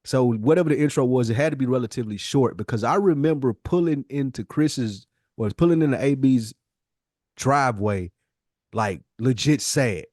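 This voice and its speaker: Guy on a hip hop podcast, mid pitched voice, slangy and emphatic, repeats stuff to make his point, super dry sound. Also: animated, moderate pace, a little mellow, dry recording. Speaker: podcast co-host. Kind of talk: casual hip-hop debate and storytelling.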